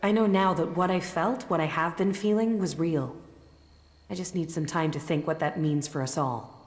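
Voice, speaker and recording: An actress playing an earnest, thoughtful mage uneasy about her powers in a video game, speaking in a measured way. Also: low voice, close to an everyday voice. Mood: troubled. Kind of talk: video game cutscene acting. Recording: echoey reverberant space, music behind.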